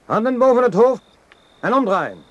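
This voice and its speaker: A man's voice: very high-pitched, expressive, normal speed, highs cut off. An actor playing a mockingly polite henchman in a radio drama. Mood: commanding, threatening. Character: menacing, mockingly courteous German henchman with a gun.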